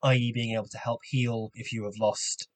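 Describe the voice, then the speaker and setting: A man's voice: mid-range voice, level tone, moderate pace. A man co-hosting a podcast, chatting casually about science and asking curious questions. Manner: curious, asks questions, laughs easily. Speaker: podcast co-host.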